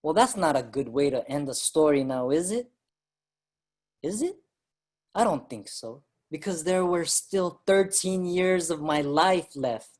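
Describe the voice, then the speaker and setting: A man's voice: a little high, lively intonation, unhurried, a little mellow. An actor playing an earnest immigrant writer, a man in a dramatic staged reading. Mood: reflective. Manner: reflective storytelling, pleading when alarmed. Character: Allos, earnest, ailing Filipino immigrant writer, younger brother. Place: online virtual staged reading.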